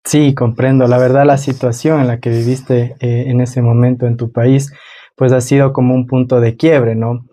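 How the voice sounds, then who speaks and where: A man's voice: mid-range voice, flat delivery, normal speed, dark tone. A man hosting a conversational interview on his own channel, welcoming a guest and asking questions.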